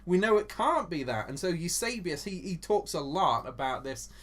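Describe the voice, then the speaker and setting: A man's voice: high voice, sing-song, normal speed, fairly bright tone. An enthusiastic man with an English accent, chatting about church history as a co-host, with lots of restarts. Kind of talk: casual Bible teaching chat.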